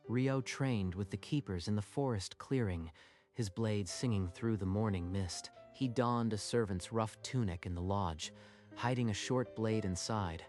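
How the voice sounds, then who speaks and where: A male narrator with a fairly low voice tells a dramatic scripted story. Music plays clearly behind his narration. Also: a little slow, darkish tone. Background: background music.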